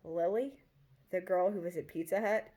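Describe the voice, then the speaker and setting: A woman's voice: lowish voice, moderate pace, warm tone, natural voice. A woman reading the part of a skeptical male college friend who is blunt and dryly teasing in a story.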